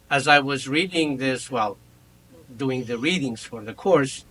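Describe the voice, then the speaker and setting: A man in his fifties whose first language is Kurdish, chairing a memorial roundtable at an academic conference in a reflective, measured, personal way. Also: mid-range voice, a bit bright, poor audio. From Iran. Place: conference room.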